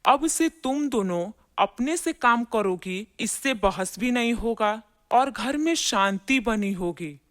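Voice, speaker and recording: A woman's voice: a little high, lively intonation, slow pace. Woman as a firm, decisive mother-in-law. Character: firm mother-in-law demanding peace at home. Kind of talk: cartoon family drama dialogue.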